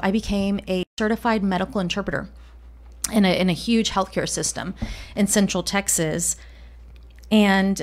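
Reflective woman guest telling her story. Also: mid-range voice. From Colombia. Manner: reflective, with frequent fillers and restarts. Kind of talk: casual interview.

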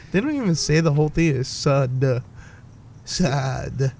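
A man's voice: a little high, animated, slow pace, gravelly, warm tone. A man in casual chat, goofing around with swearing and frequent laughter. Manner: profane, goofy, laughs often.

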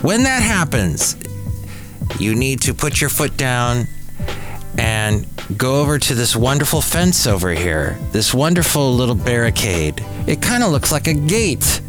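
A man's voice: mid-range voice, sing-song, measured pace. A man hosting a podcast in a casual, rambling solo monologue, playful and wordy with puns and asides. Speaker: podcast host. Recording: music behind the voice. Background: music.

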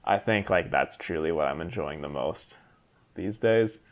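A man in his late twenties talks in an easygoing, reflective way, his voice sitting very low. He keeps a relaxed, conversational pace. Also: measured pace. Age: 20s. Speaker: caller. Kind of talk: casual call-in chat.

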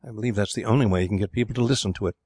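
A man's voice: lowish voice, very fast, a little mellow, breathy edge, dry recording, clean studio sound. Man putting on a spirited, gushing young woman. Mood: exasperated, dramatic. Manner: gushing, emphatic. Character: spirited young woman, petulant, dreamy, dramatic.

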